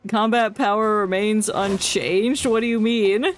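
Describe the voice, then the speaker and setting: A woman's voice: medium pitch, steady tone, measured pace, faint music behind. A woman streaming a game with playful, wisecracking casual commentary.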